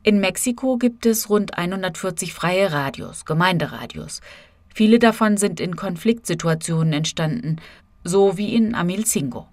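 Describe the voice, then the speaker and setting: A woman's voice: on the low side, lively intonation, moderate pace, dry recording. A woman reading a scripted radio feature as a correspondent, narrating and voicing translations of quotes.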